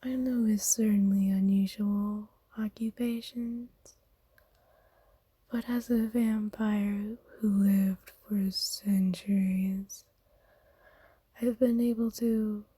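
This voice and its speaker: An ancient, wealthy vampire acted by a woman murmurs in a dark, mellow whisper for an ASMR roleplay. The delivery is very slow and even in pitch, tender and genteel, like a doting owner with formal manners. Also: dry recording. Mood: calm, genteel. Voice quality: soft, breathy whisper.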